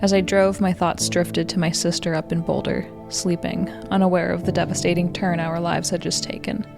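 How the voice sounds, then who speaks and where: A young American woman narrates a personal story in a fairly low voice with audible fry. Her delivery is measured and reflective, and her pitch stays very even from phrase to phrase. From Colorado, United States. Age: 20s. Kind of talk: scripted first-person narration. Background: music.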